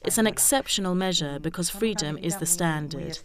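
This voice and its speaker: A woman explaining things in a formal, measured way, as a legal expert in a documentary. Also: lowish voice. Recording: clear crowd noise behind.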